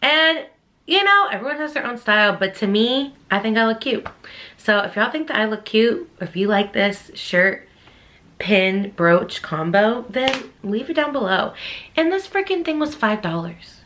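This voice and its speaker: Woman, Texan accent, 30s, book vlogger, chatty, fast talker, bright tone. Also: a little high, animated, slight background noise. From Texas. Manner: chatty, quick, addresses viewers as y'all. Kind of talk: casual chat to camera.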